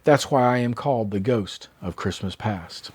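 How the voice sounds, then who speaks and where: Man acting a slow, solemn ghost. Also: on the low side, breathy edge, dry recording, pitched below the performer's own voice. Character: solemn ghostly male spirit guiding a sleeper.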